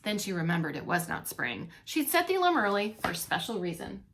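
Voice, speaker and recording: A woman reading a storybook aloud to young children as a teacher, expressively, pausing to comment and ask questions. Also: mid-range voice, very animated, background noise. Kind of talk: animated read-along storytelling for young children.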